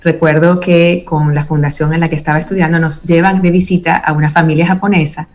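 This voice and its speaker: Lower-pitched woman, earnest interview guest. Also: flat delivery, fairly quick, a little mellow, soft background music. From Venezuela. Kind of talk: reflective conversational interview.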